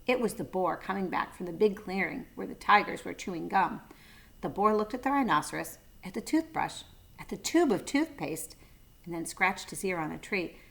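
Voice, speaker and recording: A woman's voice: on the low side, animated, normal speed, gravelly, fairly bright tone. Woman reading a children's story aloud. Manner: brief asides to young listeners.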